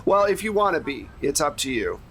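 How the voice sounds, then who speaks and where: A man's voice: fairly high pitch, sing-song, brisk, fairly bright tone, slight background noise. A man running a tabletop game as game master, narrating scenes off the cuff in a casual, conversational way.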